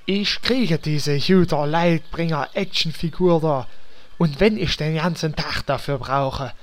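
An actor playing a hapless, stubborn paladin with a Berlin accent in a comedy fantasy audio drama. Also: male voice, on the high side, unhurried, no room sound, lightly acted. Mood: determined. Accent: Berlin German. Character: hapless whiny male paladin, stubborn, self-pitying.

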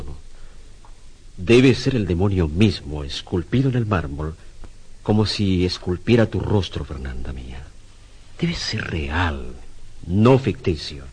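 A man's voice: low-pitched, a little mellow, slightly rough, heavily acted. A man acting in a radio drama as a proud, obsessive, self-doubting sculptor, with a theatrical delivery. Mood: brooding, intense.

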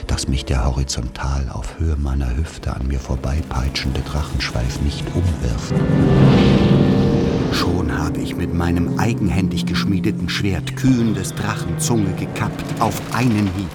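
A man's voice: very deep voice, unhurried, over loud music. A man narrating a literary radio play as someone retelling his village boyhood in a solemn, declamatory way. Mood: solemn, intense.

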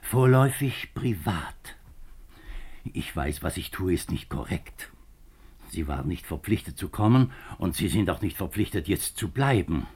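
A man's voice: low voice, animated, a little slow, darkish tone, slightly rough, full character voice. An actor in a 1950s German radio drama playing a troubled, earnest government minister, speaking formally and hesitantly as he confides. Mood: confiding, hesitant. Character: troubled male French government minister, reluctant politician, earnest.

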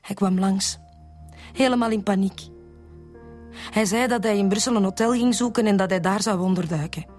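An actress with a Flemish accent playing a lovesick, betrayed woman confessing haltingly to the police in a radio drama. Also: mid-range voice, darkish tone, faint music behind, lightly acted. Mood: resigned. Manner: halting, repeats words.

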